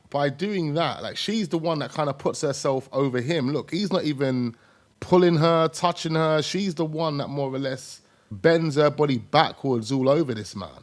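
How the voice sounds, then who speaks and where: A man hosting reaction commentary in a conversational way, repeating his points for emphasis. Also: on the high side. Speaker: video host.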